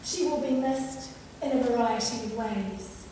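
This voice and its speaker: Hoarse woman in her 50s, high, mellow voice, composed and wry eulogy, heard from a distance in a reverberant church. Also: a little slow, slight background noise. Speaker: eulogist, eldest daughter. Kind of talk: funeral eulogy. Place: church at a funeral service. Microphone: microphone at a lectern. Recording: echoey hall, picked up from afar.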